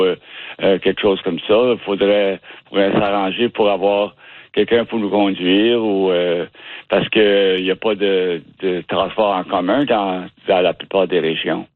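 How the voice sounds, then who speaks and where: A man from the Ottawa region with a Canadian French accent, a guest who talks haltingly and restarts words as he searches for them. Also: deep voice. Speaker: interview guest. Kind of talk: casual phone interview. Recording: tinny dry phone line.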